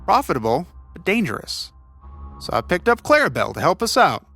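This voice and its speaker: A man's voice: sits high, huge pitch swings, fairly quick, sharp tone, faint music behind, exaggerated voice. A man playing a scrappy, stubborn bug catcher pleading with a hunting partner in an audio drama, with emphatic delivery. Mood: insistent. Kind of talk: audio fiction character dialogue.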